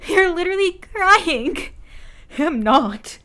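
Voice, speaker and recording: A woman's voice: extremely high pitch, animated, slow, pitched above the performer's own voice, heavily acted. A woman voicing a grumpy, easily outraged teenage boy in a story reading, complaining in an exasperated way. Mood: mocking amusement. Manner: loud, exasperated, dragged-out complaining.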